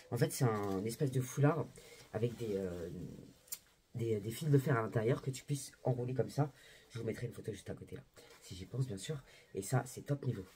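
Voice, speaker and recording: A woman's voice: a bass voice, warm tone, a little hiss or hum. A young woman vlogging in a casual, slangy, exclamatory way, chatting straight to her viewers. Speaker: lifestyle vlogger. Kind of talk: casual vlog chat.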